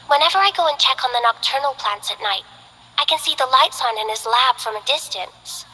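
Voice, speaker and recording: Sweet young plant-loving girl for a game, high and bright, a bit breathy, soft and hesitant. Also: animated, normal speed, big-room sound, exaggerated voice, poor audio. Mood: cheerful. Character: gentle young female botanist, sweet and shy. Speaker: game character. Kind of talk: game voice lines.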